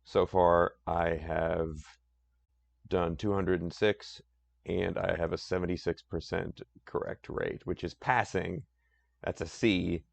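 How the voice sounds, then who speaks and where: A man with a deep voice chatting casually on a live stream, rambling and self-deprecating. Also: steady tone, takes it slowly, gravelly, dry recording.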